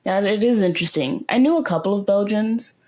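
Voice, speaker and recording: A woman's voice: mid-range voice, brisk, a little mellow. A woman hosting a podcast, chatting in a laid-back, agreeable way and telling stories with quoted dialogue. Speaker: podcast host. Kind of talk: casual conversation.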